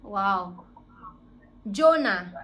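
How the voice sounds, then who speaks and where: A woman's voice: mid-range voice, lively intonation, drawn-out words, fairly bright tone. A young woman in her twenties with a Ghanaian accent, making a polite but insistent customer-service style phone call at arm's length from a phone mic in a living room. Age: 20s. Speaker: prank caller, vlogger. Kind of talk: phone prank call posing as a delivery service. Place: small living room, indoors.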